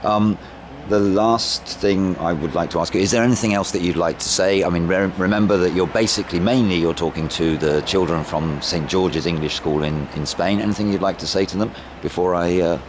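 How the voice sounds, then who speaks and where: A low-voiced man conducts an informal interview outdoors. His questions ramble and come out haltingly, over clear background noise from traffic. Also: steady tone. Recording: noisy outdoor amateur recording with traffic.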